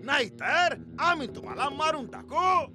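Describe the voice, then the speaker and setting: An actor voicing a male kidnapper henchman in an animated cartoon. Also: sits high, unhurried, very noisy. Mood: threatening. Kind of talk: animated cartoon dub acting.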